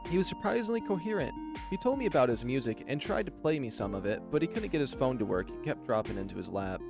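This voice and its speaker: A man voicing a shy, nervous, gentle young animal character in a visual novel, speaking in a hesitant, apologetic, soft-spoken way. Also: medium pitch, very animated, fast, creaky, over music. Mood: fond, wistful.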